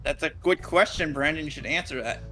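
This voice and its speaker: A high-pitched man with a bright, forceful sound banters casually during a game stream. He is blunt and teasing, with music faintly behind him. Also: a little fast, low-quality recording. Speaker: co-streamer voicing game characters.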